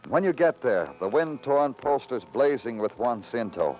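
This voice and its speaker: A man's voice: medium pitch, sing-song, normal speed, lightly acted. An American man playing a hardened, brooding New York homicide detective in a vintage radio crime drama. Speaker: lead actor and narrator of a radio crime drama. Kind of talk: 1950s radio crime drama acting, noir narration. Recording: muffled, noisy old radio recording.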